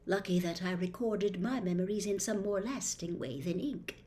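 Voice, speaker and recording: A woman voicing the persuasive memory of a young man trapped in a diary, speaking in a hushed, half-whispered way. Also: mid-range voice, normal speed, natural voice. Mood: secretive. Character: young male phantom in a diary, secretive and persuasive.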